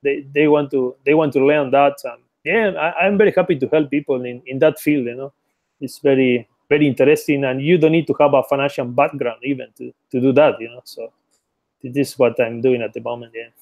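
A man's voice: mid-range voice, fairly even intonation, a little fast, dry recording, lo-fi sound. A man from Argentina, a native Spanish speaker with an Argentine accent, talking earnestly as a guest over a remote call, with restarts and non-native phrasing. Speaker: guest entrepreneur. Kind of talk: casual interview chat.

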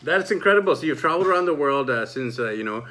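A man's voice: a little high, lively intonation, fast, pushed and bright. A man hosting an online interview show in an enthusiastic, chatty way.

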